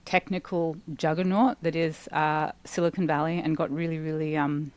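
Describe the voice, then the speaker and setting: An Australian woman in her forties talks at length as a podcast guest, eager and chatty, and she leans on "like" as she goes. Her voice sits on the low side, and the recording is dry with almost no room sound. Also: moderate pace, dry recording. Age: 40s. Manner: animated, talkative, with frequent "like" fillers. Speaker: guest, sustainability expert. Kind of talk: casual podcast interview.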